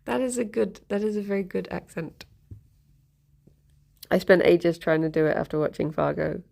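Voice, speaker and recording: A woman's voice: lowish voice, steady tone, normal speed, gravelly, a little mellow. A woman streaming live, chatting casually with her viewers and answering their messages in a rambling, giggly way. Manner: chatty and rambling, laughs often. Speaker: streamer reading chat.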